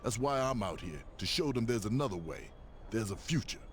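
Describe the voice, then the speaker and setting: Man acting deep, earnest streetwise hero. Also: animated, brisk, a little hiss or hum, natural voice. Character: earnest, streetwise male hero, firm neighborhood protector. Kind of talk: video game cutscene acting.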